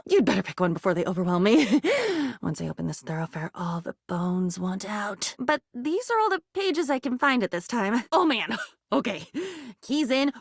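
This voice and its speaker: A woman's voice: on the high side, a bit bright, no echo, heavily acted. Anxious young female game character, melodramatic, musing, theatrical, widely swinging intonation, close dry sound. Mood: excited, overwhelmed, then nervous, dreamy.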